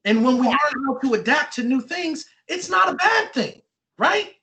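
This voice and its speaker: Bright, animated author quizzing young listeners. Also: high-pitched, normal speed. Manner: encouraging, repeats answers and checks with "right?" and "okay". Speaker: author reading own children's book and quizzing children. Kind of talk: interactive read-aloud with question and answer for children.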